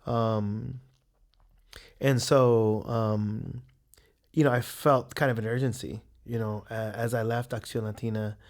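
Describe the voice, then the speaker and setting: A man who grew up in San Francisco's Mission District, an artist answering interview questions in an earnest, halting way. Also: medium pitch, level tone, darkish tone. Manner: earnest, hesitant, many fillers and restarts.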